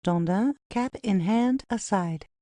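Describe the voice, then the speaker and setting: A woman's voice: mid-range voice, takes it slowly, warm tone, no room sound. A woman narrator reading stage directions as scripted narration.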